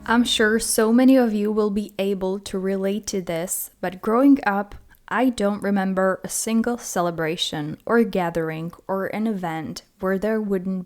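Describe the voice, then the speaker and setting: Earnest woman podcaster. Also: medium pitch. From Czech Republic. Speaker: podcast host. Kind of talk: scripted solo podcast monologue.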